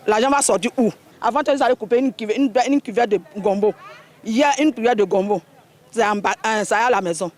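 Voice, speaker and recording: A woman's voice: on the high side, a little fast, a little mellow. A woman market vendor giving plaintive, spontaneous testimony full of rhetorical questions. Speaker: market vendor interviewed for news.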